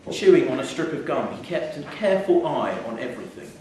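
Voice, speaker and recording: A man reading a short story aloud in a measured, wry storytelling manner, live in a theatre. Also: medium pitch, big pitch swings. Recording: echoey theatre hall, distant mic, faint noise.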